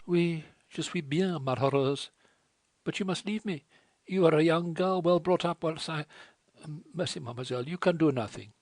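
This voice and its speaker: A man voicing a tearful young French woman who pleads in a rapid, sighing way. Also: fairly high pitch, darkish tone, no room sound, pitched above the performer's own voice, close to an everyday voice.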